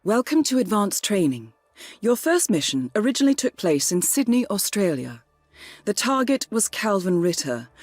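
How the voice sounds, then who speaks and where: A woman playing a composed, confident young British spy handler in a video game, speaking formally and with measured poise. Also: mid-range voice, darkish tone, close to an everyday voice. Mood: briskly instructive. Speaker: mission handler and training guide. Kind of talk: video game cutscene acting and mission briefing. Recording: background score under the voice.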